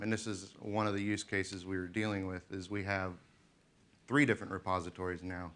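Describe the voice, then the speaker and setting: Male presenter, deep low voice, halting delivery full of "um"s, conference room. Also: fairly bright tone. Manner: loose, with many "um"s and restarts. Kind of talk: technical conference talk.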